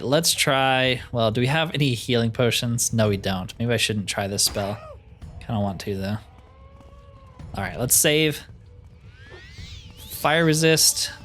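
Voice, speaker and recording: A man's voice: mid-range voice, a bit bright. A man giving casual let's play commentary, thinking aloud as he plays and grumbling wryly. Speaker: gaming video host.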